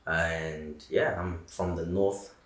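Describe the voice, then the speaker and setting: A man's voice: deep voice, steady tone, slow, roomy sound. A young man from Zimbabwe, a rapper, chatting in a casual interview in a laid-back way with lots of "like" fillers. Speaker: rapper guest. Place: living-room studio set with couches.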